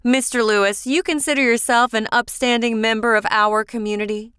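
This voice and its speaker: A woman playing a stern, accusing prosecutor in an animated comedy, speaking formally and deliberately. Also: fairly high pitch, smooth, no echo, studio quality, natural voice. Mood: pointed questioning. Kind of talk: animated comedy courtroom dialogue.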